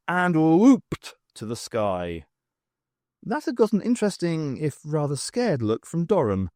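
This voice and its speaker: A man's voice: a little high, darkish tone, no room sound, studio quality. A man reading scripted narration from a science fiction audiobook.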